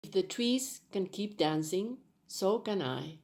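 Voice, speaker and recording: A woman's voice: mid-range voice, lively intonation, takes it slowly, creaky, a crowd in the background. A woman giving a solemn reading of a poem. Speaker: poetry reader. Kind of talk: solemn spoken poetry reading.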